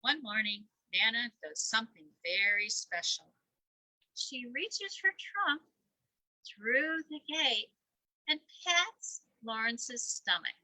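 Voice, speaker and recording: Older female storyteller for children's read-aloud: lively, swooping intonation, slow pace, playful asides, close dry sound. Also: a little high, no room sound, low-quality recording. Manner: expressive, asks playful questions. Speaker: volunteer grandparent storyteller.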